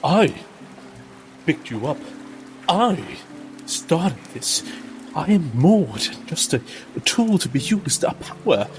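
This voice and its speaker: A man plays a desperate, defiant male inquisitor in a tabletop game. The voice rises above a normal talking pitch and comes in halting, emphatic bursts, with music and rain behind. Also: big pitch swings, exaggerated voice. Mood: defiant, anguished. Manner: halting, emphatic, broken phrases.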